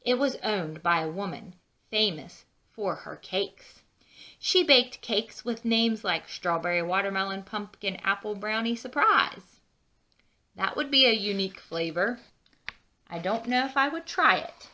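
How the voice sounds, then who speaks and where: A woman reading a children's storybook aloud with expressive, drawn-out emphasis and chatty asides. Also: mid-range voice. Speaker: children's book read-aloud narrator.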